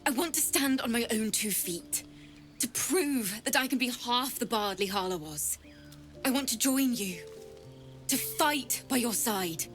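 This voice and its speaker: A woman's voice: on the high side, expressive, a little slow, fairly bright tone, soft background music. A young woman voicing an earnest female bard who talks in an eager, heartfelt way.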